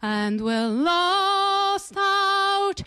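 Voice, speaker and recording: Female singer, very high voice, drawn-out lullaby-style lament, sung throughout. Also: very animated, bright, forceful tone, no echo, clean studio sound, faint music behind. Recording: musical stage performance.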